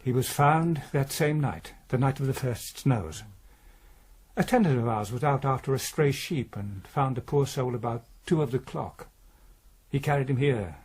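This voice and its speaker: A man's voice: mid-range voice, moderate pace, darkish tone, husky, close to an everyday voice. A man playing a kindly, anxious, devout head of a priory in a period radio drama, speaking earnestly.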